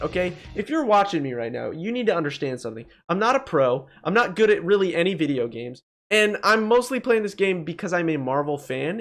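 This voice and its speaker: Young dude, pretty high voice, swings all over, casual joking gamer rant, bit of music behind. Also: male voice. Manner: animated, joking, mildly profane. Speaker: gaming video creator. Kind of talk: casual opinionated ranking commentary.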